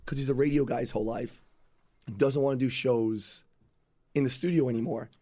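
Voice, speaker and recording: Hoarse, quick-talking New host. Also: male voice, mid-range voice, fairly even intonation, warm tone. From Staten Island, New York. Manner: easygoing, chatty. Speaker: podcast host. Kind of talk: casual chat. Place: radio studio. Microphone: studio headset.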